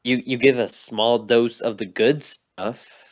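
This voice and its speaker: A man's voice: mid-range voice, fairly even intonation, slow pace. A young man co-hosting a casual podcast chat, insistent and argumentative, who keeps telling his friend to listen. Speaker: podcast co-host. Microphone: remote call mic.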